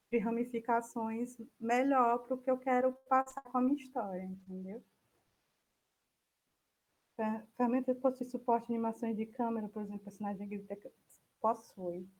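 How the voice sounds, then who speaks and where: A Brazilian woman speaks in a fairly high register while leading an informal online talk. She thinks aloud with some hesitation, walking listeners through a program and fielding their questions. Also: fairly even intonation, normal speed, low-quality recording. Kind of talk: informal software demo, answering viewer questions.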